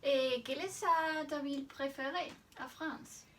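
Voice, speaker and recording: A woman in her thirties from Spain hosting an interview, asking simple questions slowly and carefully and murmuring soft acknowledgements. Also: high voice, distant mic, slight background noise. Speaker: host interviewing a childhood friend. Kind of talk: scripted interview questions in learner French.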